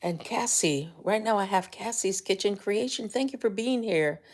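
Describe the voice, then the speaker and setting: An older woman with a Jamaican accent giving motivational talk on a livestream, telling stories animatedly and dropping to whispers. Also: normal speed. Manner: animated storytelling, rises high when excited, drops to whispers. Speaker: life coach and livestream host.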